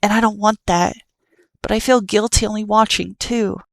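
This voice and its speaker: A woman doing a calm, all-seeing child. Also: mid-range voice, moderate pace, gravelly, no echo, voice pushed up, natural voice. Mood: earnest.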